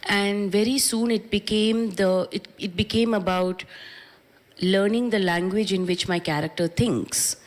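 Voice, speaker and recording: A reflective Indian woman in her thirties speaks with an Indian English accent, working through her thoughts with small restarts. Her voice sits a little low for a woman, and she answers from a press conference stage into a handheld mic. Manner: reflective, with frequent restarts and fillers. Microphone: handheld microphone.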